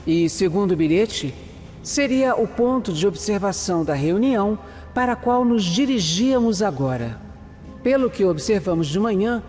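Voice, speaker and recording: A man's voice: high-pitched, a little mellow. A man with a Brazilian accent playing a methodical detective who narrates an audio drama. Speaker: narrator and protagonist. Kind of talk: scripted first-person narration in an audio drama. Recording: long reverb, music underneath.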